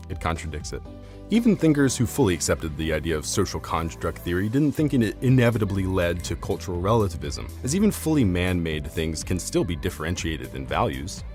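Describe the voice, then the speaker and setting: A man's voice: low voice, a little mellow. A man reading scripted, argumentative narration in an articulate, formal, academic manner. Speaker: video essayist, narrator.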